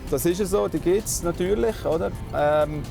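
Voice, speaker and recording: A man with a Swiss German accent speaks as a police officer explaining his job. His voice sits fairly high for a man and is casual, with clear music behind it. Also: moderate pace.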